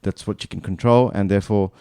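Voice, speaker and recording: A very deep-voiced man in his thirties, born in Bangladesh, chatting as a podcast guest. His tone is warm and smooth, and he thinks aloud, circling round his point and correcting himself as he goes. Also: steady tone, quick pace, dry recording, clean studio sound. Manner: thoughtful, meandering, self-correcting. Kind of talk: casual conversation.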